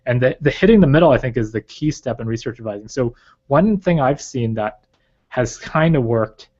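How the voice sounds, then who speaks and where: A man's voice: mid-range voice, lively intonation, normal speed, warm tone. A man who grew up in China and whose first language is Chinese. He is an interview guest and research advisor who talks casually and thoughtfully, with many fillers. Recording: slightly dull lo-fi recording.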